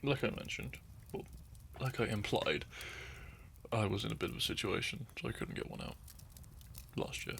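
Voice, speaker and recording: A man's voice: fairly low pitch, moderate pace, vocal fry, long pauses, a bit bright, a little hiss or hum. A young man talking to his listeners in a meandering, unscripted ramble. He laughs often, loses his thread, and sips wine as he goes. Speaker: audio content creator.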